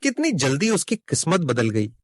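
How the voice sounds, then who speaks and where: A man's voice: a little high, huge pitch swings, moderate pace, no room sound, clean studio sound. A man playing a marvelling village gossip in a cartoon moral story.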